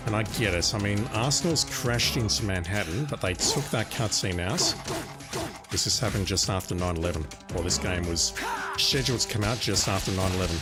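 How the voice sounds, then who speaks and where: A deep-voiced man whose first language is Russian talks through a game in a relaxed, musing way. Game music plays clearly behind him while he chats and comments on the action. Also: a little fast. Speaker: streamer. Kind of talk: casual gameplay commentary.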